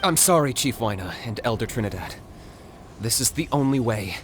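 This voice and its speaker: An actor playing a confident, matter-of-fact young hunter in a video game, speaking in clipped lines. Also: male voice, medium pitch, animated, normal speed, noisy background, lightly acted. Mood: resolute. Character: calm, terse, confident young male hunter. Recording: loud game music behind.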